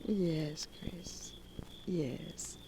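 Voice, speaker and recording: A woman's voice: low-pitched, fairly even intonation, drawn-out words, vocal fry, background noise, full character voice. A woman playing a gushing, coquettish society granddaughter in a 1940s radio drama, on an old recording. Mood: tender, yearning.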